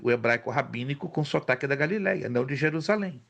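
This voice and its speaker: A man's voice: mid-range voice, quick pace, vocal fry, muffled recording. A male theology teacher in a casual class conversation, telling stories with animation and listing facts. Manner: storytelling, animated, lists facts.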